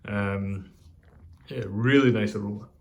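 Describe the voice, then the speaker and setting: A Scottish man chatting casually in a video review, rambling and hesitant with many pauses and ums. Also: lowish voice, steady tone. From Scotland. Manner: pauses while lighting a pipe. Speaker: pipe tobacco reviewer.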